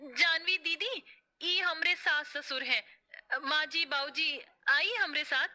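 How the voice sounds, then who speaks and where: Here is a humble young village wife acted by a woman in a cartoon drama. The voice is high and very bright. She speaks in respectful rustic dialect with a self-sacrificing, soft-hearted temper. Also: slow, full character voice. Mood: eager, respectful.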